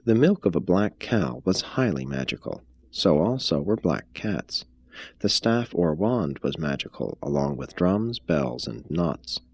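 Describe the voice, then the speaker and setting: A man narrates a scripted text in a very low, husky voice. His delivery is measured and formal, yet the pitch moves in lively swings. The sound is dry and close. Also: dry recording. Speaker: reader, narrator. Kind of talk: scripted audiobook-style reading of an expository text.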